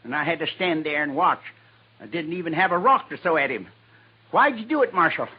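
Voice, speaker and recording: High, raspy, bitter old plainsman. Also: expressive, a little fast. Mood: bitter, accusing. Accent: American. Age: older. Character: bitter, proud old crippled plainsman, nursing a grudge. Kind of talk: 1950s radio Western acting. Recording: dull lo-fi 1950s radio recording.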